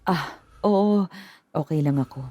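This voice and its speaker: An actress playing a worried middle-aged mother who speaks in a halting, gentle way in a radio drama. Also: low voice, no room sound. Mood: warm.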